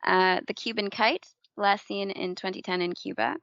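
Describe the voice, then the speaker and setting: A woman who grew up in the Philippines, co-hosting a casual news podcast, chatty and quick to laugh, stumbling over hard names. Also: mid-range voice, steady tone, vocal fry, fairly bright tone, no echo. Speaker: podcast co-host. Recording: dull lo-fi dry recording.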